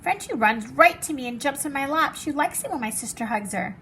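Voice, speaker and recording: A woman reading as an excitable, fancy little girl from a storybook, dramatic and showy with her favorite words. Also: sits high, a little fast, slight background noise. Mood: eager.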